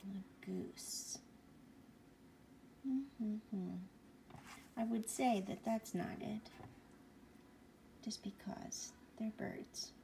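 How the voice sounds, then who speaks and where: A woman reading a picture book aloud to young children, gently and often hushed, asking the listener questions as she goes. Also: mid-range voice, very slow, gravelly, long pauses, whispered. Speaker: bedtime story reader.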